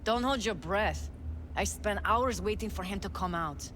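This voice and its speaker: A woman's voice: medium pitch, a little slow, fairly bright tone, slight background noise, close to an everyday voice. An actress playing a sarcastic, street-tough young woman in a video game, talking with a sassy edge. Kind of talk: video game cutscene acting.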